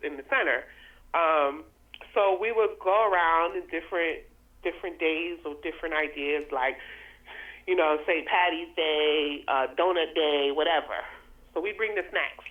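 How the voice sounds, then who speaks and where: A woman from Florida co-hosting a casual show, telling stories in a goofy, playful, slangy way over a lo-fi connection. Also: lowish voice. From Florida, United States. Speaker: podcast co-host. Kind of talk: casual chat, storytelling.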